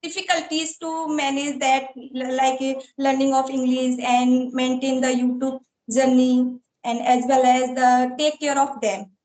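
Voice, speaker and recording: A woman in her thirties with an Indian accent, hosting a casual interview in halting learner's English. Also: high voice, little pitch movement, darkish tone, far from the mic. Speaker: interviewer, YouTube host. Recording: roomy, echoey sound.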